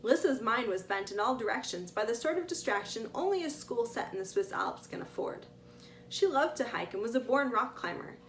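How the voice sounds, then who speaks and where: A woman author reading her adventure story aloud as an expressive narrator. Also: on the high side, slight background noise.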